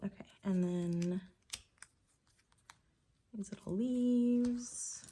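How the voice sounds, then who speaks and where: A woman with a slightly croaky voice, chatting casually and thinking aloud while she works on a scrapbook page. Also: mid-range voice, very slow, mellow, a little hiss or hum. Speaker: scrapbooking creator. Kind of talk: casual crafting commentary.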